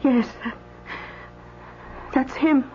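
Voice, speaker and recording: An actress playing a timid, anxious woman in a radio drama, halting and pleading. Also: high-pitched, fairly even intonation, darkish tone, slight background noise. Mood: anxious, hesitant. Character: anxious, timid woman who witnessed an accident. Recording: muffled, slightly humming radio recording.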